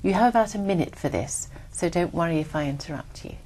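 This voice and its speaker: A woman acting as examiner in a speaking exam, giving formal, measured instructions. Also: on the low side, lively intonation, mellow. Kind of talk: scripted exam prompts.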